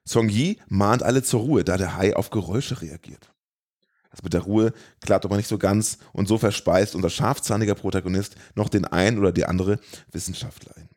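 A man's voice: on the low side, fairly quick, a little mellow. A man reading out a scripted, comedic plot recap of a film.

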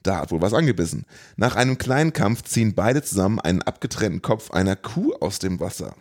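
A man's voice: on the low side, sing-song, a little fast, darkish tone. A man narrating a scripted film recap as a podcast host, with a wry, tongue-in-cheek manner.

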